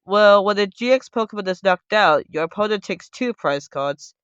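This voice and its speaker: A man hosting a casual, unscripted how-to video, talking loudly and rambling as he corrects himself. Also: sits high, animated, moderate pace, clean tone, dry recording. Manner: loud, rambling, self-correcting. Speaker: host of a card game tutorial video. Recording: dull, lo-fi sound.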